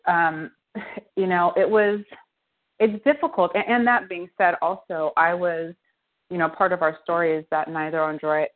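Low-voiced woman over a tinny phone line. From United States. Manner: thoughtful, many restarts and fillers, soft laughs. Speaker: guest, winery co-founder. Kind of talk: casual interview conversation.

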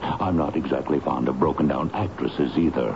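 An actor playing a gruff, hard-hearted tenant in a radio drama, curt, sardonic and dismissive. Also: male voice, very deep voice, moderate pace. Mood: cold, sardonic. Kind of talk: old-time radio drama acting. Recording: dull, noisy radio drama recording.

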